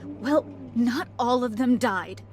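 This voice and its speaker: Desperate haunted woman, echoing, high-pitched. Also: darkish tone, slight background noise. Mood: urgent pleading. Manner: pleading, halting, drawn-out delivery. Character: desperate, haunted woman trapped in a hypnotic loop. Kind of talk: dramatic video game acting.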